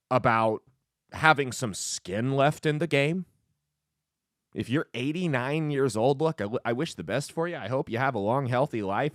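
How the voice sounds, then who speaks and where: Twenties male commentary host, sarcastic and mocking, animated delivery, dry close-miked sound. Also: a little high, no echo. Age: 20s. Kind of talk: opinionated political commentary.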